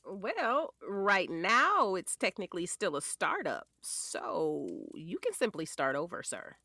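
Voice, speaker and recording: A woman's voice: mid-range voice, sing-song, slow, bright, forceful tone, no room sound, full character voice. A woman playing an affectionate, sassy wife and mother in an audio drama, with playful delivery. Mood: playful, fond.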